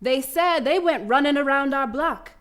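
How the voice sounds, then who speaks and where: A woman's voice: high voice, normal speed, fairly bright tone. A woman playing a proud young schoolgirl runner in an audio drama monologue, telling her story in colloquial, emphatic speech with dramatic repetition. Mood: subdued, grieving. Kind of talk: dramatic first-person monologue, audio play.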